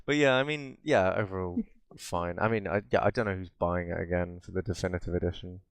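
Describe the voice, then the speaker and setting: A British man with a fairly low, clean voice, recorded close and dry. He talks as a podcast co-host in loose, rambling banter. His delivery is sardonic and peppered with swearing. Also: a little fast, clean tone, no room sound. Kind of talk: casual bantering chat about video games.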